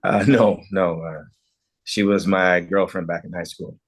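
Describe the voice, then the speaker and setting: A helpful small-town man, played by an actor at a table read. His voice is low and husky, and his manner is a little hesitant. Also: fairly even intonation, a little slow.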